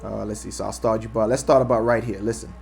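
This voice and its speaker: A man's voice: lowish voice, little pitch movement, fast, creaky, a little mellow, a little hiss or hum. A man hosting his own commentary show, arguing emphatically and repeating his points with frequent rhetorical "right?". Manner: emphatic, repetitive, argumentative. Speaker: host. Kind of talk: opinion commentary on a clip.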